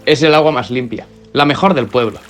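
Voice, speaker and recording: A man's voice: mid-range voice, brisk, fairly bright tone, natural voice. Man acting a playful young villager over music. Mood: welcoming. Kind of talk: audio fiction character acting. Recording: music clearly behind the voice.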